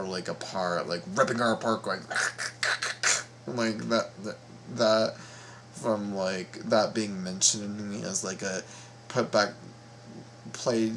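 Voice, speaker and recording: A man giving casual reaction commentary, explaining things in a halting, repetitive way. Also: fairly low pitch, a bit bright, a little hiss or hum. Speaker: reaction video host.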